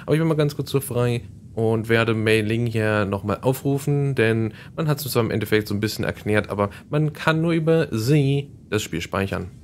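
A man's voice: mid-range voice, normal speed, a little hiss or hum. A man hosting a Let's Play, chatting casually and explaining the game as he plays. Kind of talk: casual gameplay commentary.

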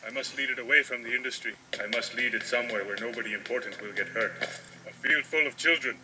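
An actor voicing an earnest, oddball gentle giant teenager in a cartoon, stiff, formal and deadpan. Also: male voice, mid-range voice, fast talker, a little hiss or hum, poor audio. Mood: solemn.

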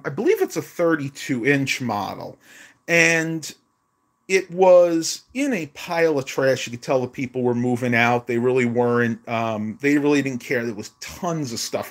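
A man, chatting casually on a home live stream while losing his voice, rambling with many ums, filmed on a phone at arm's length in a small bedroom. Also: mid-range voice. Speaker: vlogger. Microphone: phone held at arm's length.

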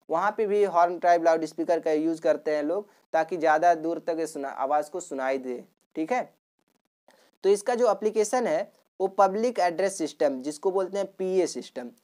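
A woman's voice: on the low side, mellow. A woman giving a simple explanatory lecture as a teacher, repeating points and often adding short check-in tags. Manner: repetitive, with frequent check-in tags.

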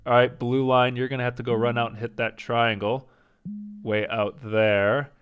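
A man casually commenting as he plays a strategy game, thinking aloud and second-guessing his choices, with a slight rasp and an occasional cough. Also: medium pitch, little pitch movement, moderate pace, lo-fi sound. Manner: thinking aloud, indecisive, self-talking. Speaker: gaming channel host. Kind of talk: casual let's-play commentary.

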